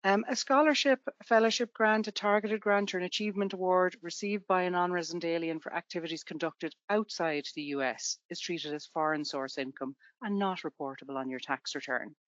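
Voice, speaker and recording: A woman's voice: on the low side, a little fast, hardly any pauses, no echo, highs cut off. A woman from Ireland with an Irish accent, presenting a tax webinar to students in a conversational way with everyday examples.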